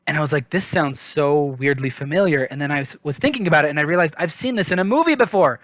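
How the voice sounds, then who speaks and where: A man's voice: on the high side, animated, quick pace, a bit bright, no echo. A man giving a casual book review in an informal, chatty, self-deprecating way.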